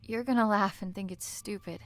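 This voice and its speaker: A woman's voice: mid-range voice, fairly quick, natural voice. A woman playing a weary, troubled test worker in a video game, speaking earnestly and hesitantly. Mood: hesitant, guarded.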